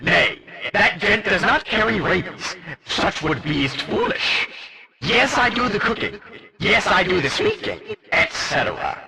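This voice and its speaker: A jesty ancient skeleton merchant from a game, with a gravelly, creaky edge. He declaims in theatrical archaic phrases, pitched fairly high and swooping widely through each line. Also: lively intonation, measured pace, background noise, exaggerated voice. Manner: theatrical, archaic, exclamatory, riddling. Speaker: character voice for a game.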